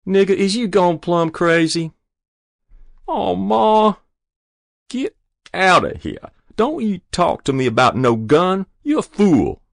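A man narrating puts on a stern country mother from a storybook, with a rural Southern US accent. Her voice is lifted and very animated, blunt and exasperated, recorded dry and close. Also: medium pitch, moderate pace, dry recording, pitched above the performer's own voice. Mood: scolding, exasperated. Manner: scolding, dismissive, blunt. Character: stern, scolding rural mother, adult woman, exasperated but loving.

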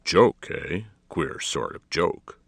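A man's voice: low voice, expressive, takes it slowly, dry recording, exaggerated voice. A man voicing a forbidding gray-haired police inspector in a storybook, coldly official, with a firm, clipped and snappish manner. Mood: stern, sardonic.

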